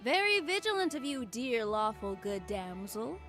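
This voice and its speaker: Young rebel mage, high-pitched, smug, playful teasing, lively swings, visual novel game, music behind. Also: female voice, normal speed.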